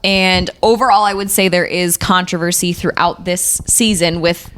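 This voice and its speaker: A woman's voice: fairly low pitch, fairly even intonation, normal speed, a bit bright. A woman co-hosting a podcast in casual, chatty, informal banter. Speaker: podcast co-host.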